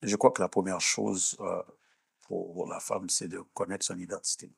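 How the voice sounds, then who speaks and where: A mature man speaks in a low voice with a rough, husky grain, recorded close and dry. He answers like a minister being interviewed, explaining deliberately. He often pauses to search for the next word. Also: level tone, no room sound. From born in Haiti, grew up in Canada. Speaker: minister and executive coach, interview guest. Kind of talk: measured interview talk with preaching explanation.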